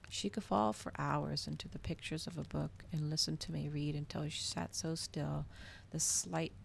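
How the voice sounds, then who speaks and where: A soft-spoken woman reading a novel aloud in an ASMR style, at times close to a whisper. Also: sits low, vocal fry, slight background noise.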